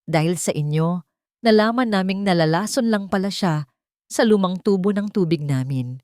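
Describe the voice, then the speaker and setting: Humble provincial parent voiced by a woman, Filipino accent, slightly low, respectful and grateful audiobook delivery. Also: normal speed, darkish tone, no echo, studio quality, natural voice. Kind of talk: audiobook character dialogue.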